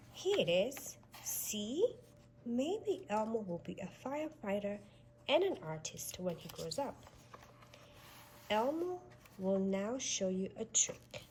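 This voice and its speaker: A woman reads a picture book aloud for small children. Her voice is fairly high and swings widely in pitch in a sing-song way. She takes it slowly, leaving room for little listeners to follow. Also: a little hiss or hum, poor audio. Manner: expressive, sing-song delivery for young children. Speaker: storybook reader. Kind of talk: read-aloud children's storytelling.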